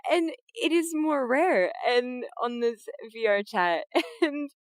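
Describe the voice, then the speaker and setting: A woman's voice: slow pace, no room sound. Playful giggly woman on a dry mic. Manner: playful, giggly, bursts into high excited tones. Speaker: guest, voice actress and streamer. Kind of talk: casual podcast chat.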